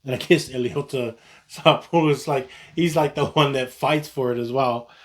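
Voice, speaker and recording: A man's voice: medium pitch, steady tone, normal speed. A man, talking casually on his own and often restarting his sentences. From New Zealand. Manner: rambling, frequent restarts and self-corrections. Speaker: vlogger. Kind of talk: casual solo talk.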